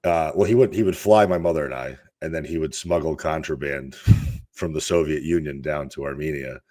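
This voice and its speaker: A man's voice: rumbling low, moderate pace, warm tone. A man in his thirties from Russia, a fitness coach telling candid, rambling stories as a podcast guest. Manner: candid, rambling storyteller with restarts. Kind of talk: casual interview talk.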